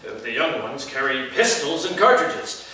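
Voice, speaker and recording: An actor playing a weary, frank and courteous Swiss mercenary soldier in a live stage comedy, with a theatrical, matter-of-fact manner. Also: male voice, mid-range voice, animated, moderate pace, lightly acted. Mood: grateful, wry. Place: indoor stage in an arts center, recorded from afar. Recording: distant, very echoey theater recording, faint noise.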